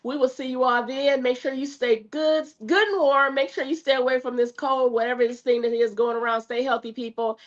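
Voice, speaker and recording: A woman hosting a live talk show interview, friendly and quick to laugh, sounding stuffy from a cold. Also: high voice, steady tone. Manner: friendly, laughs often, effusive thanks. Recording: dull lo-fi stream audio.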